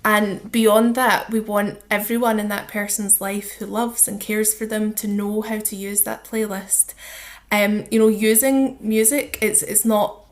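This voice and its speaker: Scottish woman being interviewed, chatty explainer, pretty even pitch, not much up and down. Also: measured pace. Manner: informal, explanatory, with examples. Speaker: charity communities officer, interview guest.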